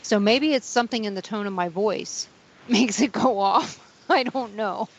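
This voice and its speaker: A woman hosting a paranormal talk radio show, with a low voice for a woman, telling rambling stories and chuckling between them. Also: measured pace. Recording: dull lo-fi sound with faint hiss.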